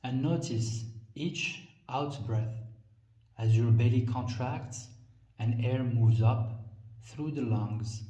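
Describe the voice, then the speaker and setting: Male meditation guide, mid-pitched and unhurried, reading calmly in measured phrases, recorded at a distance in an echoey space. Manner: calm, measured phrasing with frequent pauses. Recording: roomy echo, distant from the mic.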